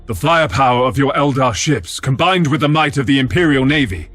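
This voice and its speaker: A resolute space admiral in a game cutscene. He speaks at an average pitch with formal military firmness. Music sits behind him. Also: expressive, a little fast, fairly bright tone, dry recording. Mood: firm, measured. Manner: formal, firm military speech. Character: resolute male space navy admiral, dutiful and measured. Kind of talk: video game cutscene acting. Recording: music underneath.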